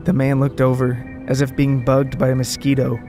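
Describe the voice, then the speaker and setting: A man's voice: medium pitch, monotone, normal speed, music in the background. A man narrating a scripted comic story as its storyteller.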